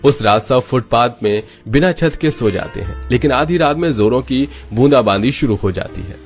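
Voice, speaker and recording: A man narrates a scripted dramatic tale. His pitch is middling, and soft music runs beneath the storytelling. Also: animated, moderate pace.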